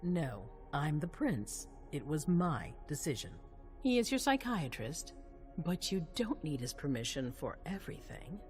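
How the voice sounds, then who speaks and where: An actress playing a composed, calculating vampire ruler in a video game cutscene, measured and authoritative. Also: female voice, sits low, big pitch swings, darkish tone. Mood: commanding, measured. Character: commanding vampire prince woman, composed, calculating, regal. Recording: faint music behind.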